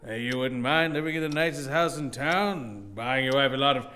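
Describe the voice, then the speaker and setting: A man's voice: mid-range voice, moderate pace, bright, forceful tone, natural voice. An actor playing a crafty, domineering old businessman in a radio play, condescending and blustering. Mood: wheedling, persuasive.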